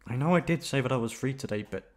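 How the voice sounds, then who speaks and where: A young man playing a shy, easygoing college classmate in an ASMR roleplay, talking casually in a hesitant, halting way. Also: mid-range voice, expressive, close to an everyday voice. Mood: flustered, hesitant. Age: young adult. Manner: hesitant, halting, awkward chuckles. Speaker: voice actor. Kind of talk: ASMR roleplay audio drama, casual conversational.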